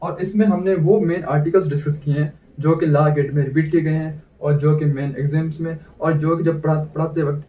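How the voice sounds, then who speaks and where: A man's voice: on the high side, steady tone, fairly quick, few pauses, warm tone. A man with a Pakistani accent giving an explanatory exam-prep lecture as a teacher, often asking himself questions and answering them. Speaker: law teacher. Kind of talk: explanatory exam-prep lecture in Urdu mixed with English terms. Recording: dull, echoey room, distant mic.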